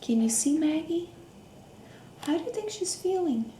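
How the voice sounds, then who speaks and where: A woman reading a picture book aloud to children, expressive, with questions, gasps, hums and hushed asides. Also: sits high, measured pace. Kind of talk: interactive children's read-aloud with questions to young listeners. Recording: roomy echo, faint background noise.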